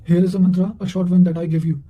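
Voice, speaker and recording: A man with a high voice reads quotations from revision notes. His tone is very soft-edged and dark, and his delivery stays measured and fairly flat, with an occasional stumble over a word. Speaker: narrator of study revision notes. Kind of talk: reading quotations aloud from notes.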